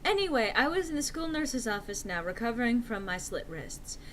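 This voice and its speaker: Theatrical woman narrator, high lively voice. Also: a little fast, a little hiss or hum. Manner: theatrical, exaggerated emphasis. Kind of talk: comedic dramatic reading of fan fiction.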